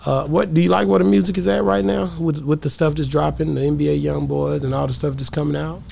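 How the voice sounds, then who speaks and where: A man's voice: fairly high pitch, fairly even intonation, quick pace, vocal fry, dark tone, a little hiss or hum. A middle-aged man hosting a podcast interview, asking questions and encouraging his guest in a casual studio chat. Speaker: podcast host. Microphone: studio mic, headphones.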